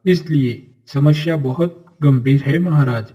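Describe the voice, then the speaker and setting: Husky, earnest royal courtier played by a man, muffled. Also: a little high, unhurried. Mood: earnest, solemn. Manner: formal, solemn, earnest. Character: noble, earnest men of a royal fairy-tale court. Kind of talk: Hindi animated fairy-tale acting.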